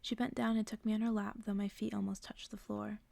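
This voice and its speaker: A woman reading a bedtime story as ASMR in a soft, breathy whisper, hushed and gentle. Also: mid-range voice, flat delivery, a little fast, no echo. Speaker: ASMR creator reading stories aloud. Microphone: close-up microphone.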